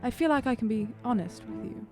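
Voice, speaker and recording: A woman's voice: a little high, expressive, a little fast, music in the background. A woman playing a tabletop roleplaying game, talking informally and thinking aloud as she improvises her character's moves and lines.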